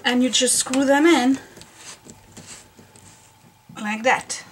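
A woman's voice: sits high, steady tone, very slow, slightly rough. A woman chatting casually to camera as she shows off a bookcase and installs a towel rack in her basement, thinking aloud with many fillers. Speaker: home organizing vlogger. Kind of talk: casual show-and-tell narration. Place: basement room at home, indoors.

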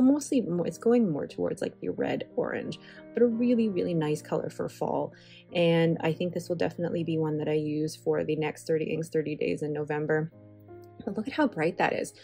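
A woman talks through a casual voice-over with chatty enthusiasm and rambling asides. Her voice sits fairly low. Soft music plays faintly behind her. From Filipino heritage. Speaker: stationery channel host. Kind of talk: casual voiceover while swatching inks. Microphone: voice-over recorded separately.

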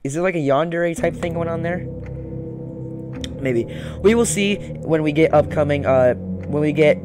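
Casting: young adult man, casual gaming host, muttered repetitive commentary, loud game soundtrack behind. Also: fairly high pitch, moderate pace. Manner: muttering, repeats phrases. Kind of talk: casual let's-play commentary. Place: small room indoors. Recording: loud game audio over voice. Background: loud game music.

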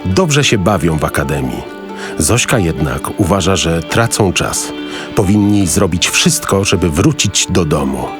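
A very low-pitched storyteller for a children's space adventure radio play. His narration moves expressively over clearly audible music. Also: lively intonation, unhurried. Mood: storytelling. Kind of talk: scripted audio drama narration for children.

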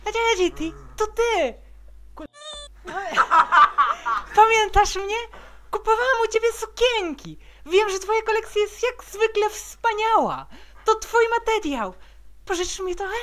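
A very high-pitched, excitable young pony from a cartoon fandub, acted by a woman. Her pitch jumps around in a lively way. There is faint noise on the recording. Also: takes it slowly, long pauses, full character voice. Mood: gushing. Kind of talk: amateur cartoon comic dub.

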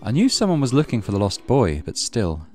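A man's voice: medium pitch, wildly swooping pitch, a little fast, a little mellow, faint music behind. A man hosting a let's play, reading a horror visual novel aloud with dramatic storytelling and muttered asides. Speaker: gaming channel host.

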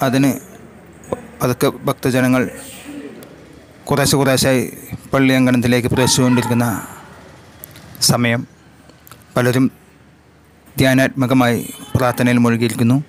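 A man with a Malayali accent narrating a travel vlog slowly and in measured, short separated phrases. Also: mid-range voice, completely flat delivery, a little hiss or hum. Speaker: vlogger. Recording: loud music over the voice. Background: loud music.

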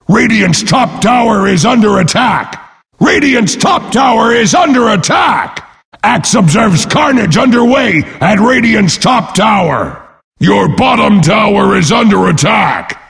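An actor playing a brash, boastful, battle-hungry warrior brute as a pompous, bellowing video game announcer. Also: male voice, vocal fry, fairly bright tone, exaggerated voice. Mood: alarmed bellowing. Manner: bellowing, pompous, speaks of himself in third person. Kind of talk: bombastic game announcer lines. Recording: long booming reverb.

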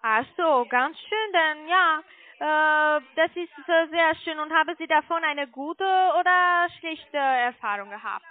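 A woman speaking non-native German as a call centre agent, making a halting phone sales pitch, often searching for words. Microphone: telephone. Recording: muffled narrowband phone line.

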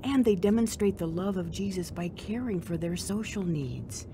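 A devout young divorced mother in a radio drama. Her voice is gentle and on the low side. There is clear noise in the background. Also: expressive, normal speed. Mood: gentle, encouraging.

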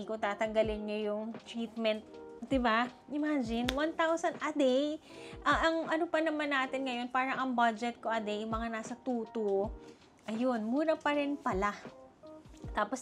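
A young adult woman from the Philippines, a travel vlogger talking through her trip budget in a chatty, animated way. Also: on the high side. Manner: chatty, animated, mixing Tagalog and English. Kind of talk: casual vlog chat with budget talk.

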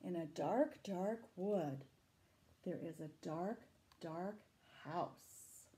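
A middle-aged woman reading a picture book aloud to children, dramatic and deliberate, adding asides and questions for her young listeners. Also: lowish voice, warm tone. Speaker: library storytime reader. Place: small room indoors. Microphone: camera a short distance away.

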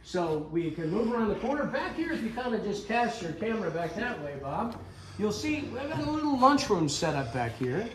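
An older man with a fairly high voice talks loosely and cheerfully as he shows off his woodworking shop. He explains things step by step and slips in small jokes. Faint shop hum sits under his voice in a big indoor space. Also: very animated, moderate pace, low-quality recording. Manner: chatty, explanatory, joking. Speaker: woodworking school owner. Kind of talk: casual shop tour talk. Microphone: camera held by interviewer.

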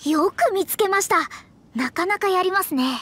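Haughty, very high-pitched young mage. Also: female voice, animated, normal speed, a bit bright, slight background noise. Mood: prim, composed. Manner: prim, self-important, formal. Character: proud, prim young female astrologer mage, haughty teacher. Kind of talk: anime game voice acting.

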